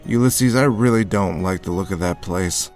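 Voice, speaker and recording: A man playing a haunted, sardonic drifter in a dark horror audio drama, moving between first-person narration and dialogue. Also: sits low, normal speed, natural voice. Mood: uneasy, sardonic. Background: background music.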